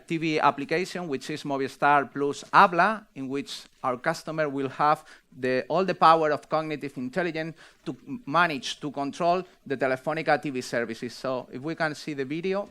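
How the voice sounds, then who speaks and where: A man presenting a product launch on stage, halting and pausing with fillers. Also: fairly high pitch, bright, forceful tone, clean tone. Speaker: executive presenter at a launch event.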